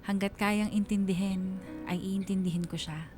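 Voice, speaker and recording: A woman's voice: mid-range voice, steady tone, moderate pace, darkish tone, soft background music, close to an everyday voice. An actress playing a wistful young woman narrating her own story in a confessional radio drama. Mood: reflective, resigned.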